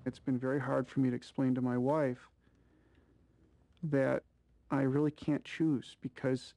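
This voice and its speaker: Man, dark tone, dry sound, candid soldier interview. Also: medium pitch, flat delivery, a little slow, gravelly, no room sound.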